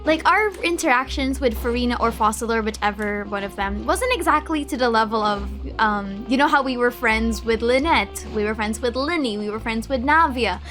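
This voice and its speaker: A woman's voice: a little high, expressive, moderate pace, hardly any pauses, a bit bright. A woman streamer giving casual gameplay commentary, thinking aloud in a reflective, hesitant way. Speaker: game streamer. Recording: faint music behind the voice.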